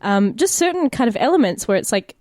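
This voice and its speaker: A woman's voice: on the high side, big pitch swings, fairly quick, darkish tone, no echo, studio quality. A woman with an Australian accent chatting casually and candidly as an actor on a podcast, swearing freely. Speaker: podcast cast member, actor. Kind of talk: casual chat.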